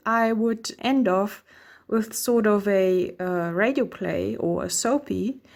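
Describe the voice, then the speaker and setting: Woman, medium pitch, informal wording, thinking aloud, pitching an idea. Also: vocal fry, a little mellow. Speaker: academic contributor.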